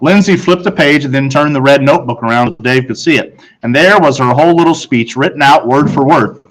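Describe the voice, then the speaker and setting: A man reading a children's story as expressive scripted narration, dramatic and with deliberate pauses. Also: mid-range voice, low-quality recording. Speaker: audiobook narrator.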